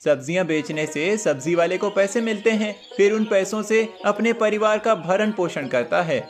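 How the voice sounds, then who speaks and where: A man's voice: high voice, moderate pace, soft background music, close to an everyday voice. A man voicing a teasing, patient older brother, a young prince who explains things in a cartoon story. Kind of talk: cartoon moral story acting.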